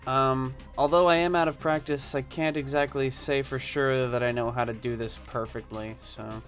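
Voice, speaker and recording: A young man narrates his gameplay in a relaxed, unscripted way, sitting at a mid pitch. He reads on-screen text aloud and then drifts into rambling asides full of fillers. Faint game music plays underneath his voice. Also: moderate pace. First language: not Japanese. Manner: rambling, hesitant, many fillers. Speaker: let's play gamer.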